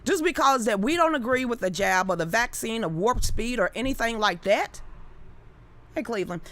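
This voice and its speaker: A woman's voice: fairly high pitch, animated, moderate pace, a bit bright. A woman, ranting bluntly and heatedly to her live-stream viewers with plenty of cursing. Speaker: live-stream political commentator. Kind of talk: unscripted live-stream rant.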